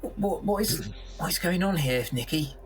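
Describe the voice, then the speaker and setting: A man's voice: high-pitched, lively intonation, moderate pace, soft background music. Man playing a flustered, stammering team member. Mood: uncertain, concerned. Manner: stammering, repeating himself. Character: flustered male team decision-maker, uncertain, conciliatory.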